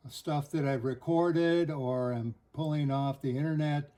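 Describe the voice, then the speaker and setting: Older male interview guest, higher-pitched yet soft-toned, halting and thoughtful, recorded in an echoey home room. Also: fairly even intonation. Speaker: interview guest, audiophile hobbyist. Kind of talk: casual interview chat. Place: indoors at home listening room.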